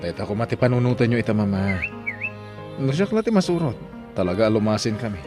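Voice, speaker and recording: Man acting as grown son, Ilocano accent, firm, resolved, audio drama, clear background music. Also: on the low side, expressive, quick pace, close to an everyday voice. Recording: prominent music behind the voice.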